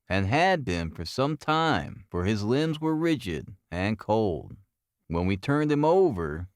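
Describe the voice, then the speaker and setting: A man voicing an earnest, methodical Victorian police detective in an audiobook, giving his report in formal, deliberate storytelling. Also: medium pitch, no echo, clean studio sound. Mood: grave, tense.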